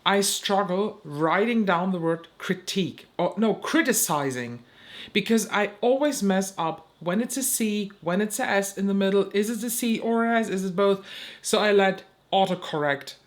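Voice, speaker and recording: A woman's voice: mid-range voice, moderate pace. A young woman, a beauty creator giving blunt opinions to camera, swearing casually and stumbling over words now and then. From Germany. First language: German.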